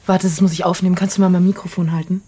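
A woman's voice: fairly low pitch, level tone, rapid-fire, dark tone, slightly rough. An actress playing a blunt, sardonic media woman in a radio drama, with a dry, pointed delivery. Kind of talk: naturalistic radio drama acting.

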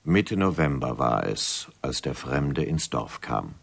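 A grave storyteller in a radio drama. His voice is extremely deep and somewhat rough, and he tells the tale in measured, ominous phrases. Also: very animated, fairly bright tone, full character voice. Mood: grave, mysterious. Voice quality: deep, husky. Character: grave male narrator of a mysterious village tale. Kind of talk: scripted radio drama narration.

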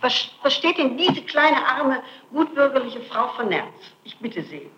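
A middle-aged woman answering questions in a recorded interrogation, insisting and denying in halting, repetitive phrases. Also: high voice, big pitch swings, lo-fi sound. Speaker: suspect under interrogation. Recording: noisy 1960s archival tape.

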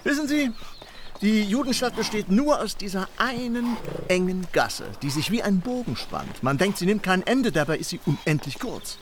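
An actor playing Goethe as an elderly, self-assured privy councillor in a German radio play, ironic, teasing and given to exclamation. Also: male voice, sits high, animated, normal speed, noisy background.

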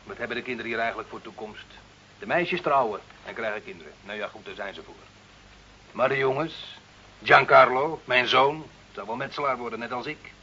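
A hot-headed, grumbling village bricklayer played by a male actor in a radio drama. His voice is forceful and mid-pitched, full of sarcasm and stubborn complaint. The old recording is dull, with some background noise. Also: fairly quick, lightly acted. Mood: bitter grumbling. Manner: blustering, sarcastic, argumentative. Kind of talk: radio play acting.

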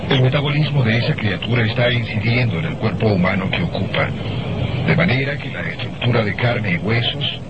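A man acting as an earnest naval lieutenant with a lively, swooping delivery. He explains insistently in old radio drama style, on a dull recording thick with noise. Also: fairly low pitch, natural voice. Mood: insistent, excited. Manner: emphatic, explanatory, halting when excited. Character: earnest male navy lieutenant, insistent theorist of the uncanny.

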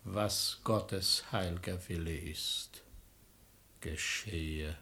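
An actor playing a sanctimonious, scheming hypocrite who feigns pious suffering in unctuous, plaintive verse for a classical comedy radio play. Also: male voice, low voice, steady tone, extremely slow, vocal fry, sharp tone, exaggerated voice. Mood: meek, hushed. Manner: unctuous, theatrical, plaintive, self-pitying.

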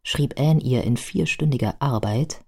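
An actress as a detached, wry biographer, giving composed scripted narration in a radio play. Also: female voice, a bass voice, unhurried, breathy edge, exaggerated voice. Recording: narration under loud music. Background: loud music.

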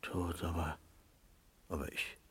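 Bewildered, husky man under suspicion. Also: low-pitched, flat delivery, a bit bright, full character voice. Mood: dazed, shaken. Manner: halting, bewildered, repeating himself. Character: shaken, remorseful male drunk-driving suspect denying his guilt. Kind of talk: 1970s German radio crime drama acting.

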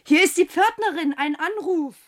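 Flustered, high-voiced night porter woman. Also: normal speed. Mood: subdued. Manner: stammering, hesitant, easily startled. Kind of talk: radio play acting. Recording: noisy radio play recording.

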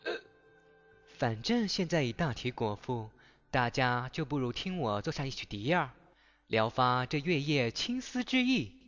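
A man's voice: medium pitch, sing-song, unhurried, fairly bright tone, highs cut off. A man playing an earnest, good-natured young man in a Chinese ancient fantasy radio drama. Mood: genial. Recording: roomy home recording under music. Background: background music.

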